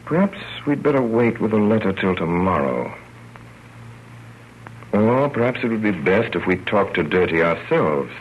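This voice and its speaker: A man playing a fond, wry, gentlemanly father telling his story with measured theatrical delivery in 1930s radio style. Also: on the low side, very animated. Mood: earnest, fond. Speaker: narrator. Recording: muffled, noisy old radio broadcast.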